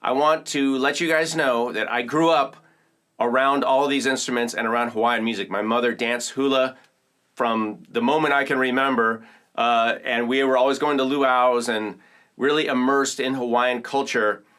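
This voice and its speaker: A man's voice: medium pitch, steady tone, moderate pace, a bit bright. A man from Oakland, California, hosting a percussion tutorial and explaining instruments to viewers in an upbeat, enthusiastic way. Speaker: percussion teacher and host. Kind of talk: casual demonstration tutorial.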